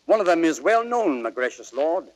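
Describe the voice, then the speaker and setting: An actor playing a formal, deferential sheriff in old radio Shakespeare style. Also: male voice, fairly high pitch, sing-song, normal speed, rough recording. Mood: respectful, firm. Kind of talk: 1930s radio Shakespeare acting.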